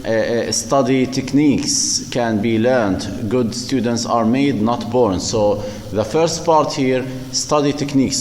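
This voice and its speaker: A male university lecturer, speaking slowly and haltingly with many fillers and repeated words in a recorded lecture. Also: medium pitch, a little mellow, echoey room, big-room sound. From Palestine.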